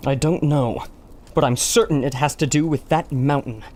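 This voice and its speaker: An actor playing an earnest, easily exasperated scholarly historian in an adventure radio serial. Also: male voice, mid-range voice, normal speed, darkish tone, lightly acted. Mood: grave.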